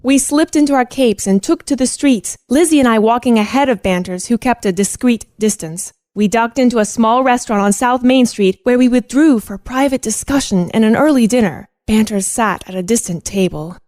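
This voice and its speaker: A woman acts an earnest, inquisitive teenage girl in an old-fashioned radio play. Her voice sits fairly high. She speaks formally and articulately, as a proper young storyteller and companion to a detective. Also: normal speed, hardly any pauses, darkish tone, no room sound, clean studio sound, lightly acted. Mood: storytelling. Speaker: narrator and sidekick.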